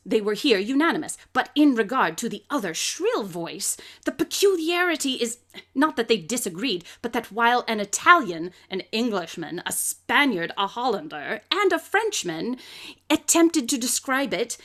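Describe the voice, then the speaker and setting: Pompous high-pitched brilliant detective. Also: female voice, fairly bright tone. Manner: pompous, didactic, precisely articulated. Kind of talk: live radio drama acting. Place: closet or desk at home.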